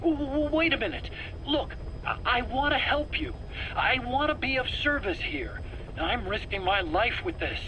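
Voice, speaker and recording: An anxious, defensive scientist under questioning in a game drama. His voice is bright and tense, with earnest, stammering self-justification. A faint tape noise sits over it. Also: extremely high pitch, lively intonation, unhurried, heavily acted. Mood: defensive, pleading. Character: nervous, self-justifying male scientist under interrogation, pleading. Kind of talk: video game voice acting. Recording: noisy cassette tape interrogation recording.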